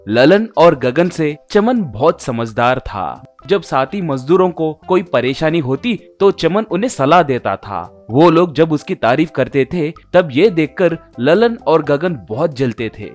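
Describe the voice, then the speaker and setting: Animated, unhurried male storyteller. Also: a little high. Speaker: narrator. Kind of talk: scripted storytelling narration for a cartoon moral tale.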